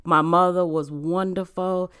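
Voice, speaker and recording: A woman's voice: fairly low pitch, steady tone, measured pace, fairly bright tone, clean tone. A woman who grew up in Rhode Island, speaking earnestly about her faith as a guest and author in a casual interview. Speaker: guest, church member and author.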